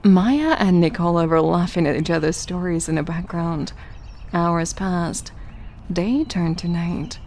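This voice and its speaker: A woman's voice: fairly low pitch, unhurried, a little mellow, a little hiss or hum. A woman narrating a scripted story for an audio drama.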